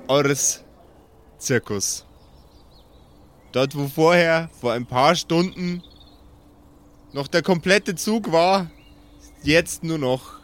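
A man narrating an improvised pen-and-paper story as game master, measured, with dramatic pauses. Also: a little high, fairly bright tone.

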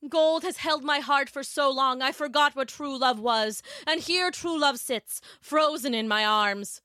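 A woman's voice: high voice, unhurried, sharp tone, no room sound, clean studio sound, pitched above the performer's own voice. A woman playing a proud, greedy king and father in a story, declaiming in a pompous, theatrical way. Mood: anguished, remorseful.

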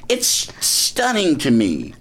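A man's voice: a little high, slow, noisy background. A middle-aged man, a guest on a talk show, telling a story in casual chat, laughing and mocking himself, with lots of restarts. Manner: animated, laughing, self-mocking, many restarts. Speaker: actor and guest.

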